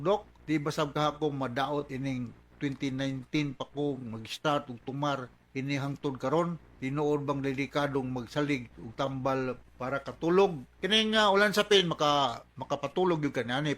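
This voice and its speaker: A man's voice: mid-range voice, a bit bright. A man with a Cebuano Filipino accent giving conversational medical advice as a radio host, often switching into English. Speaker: doctor hosting a radio advice programme.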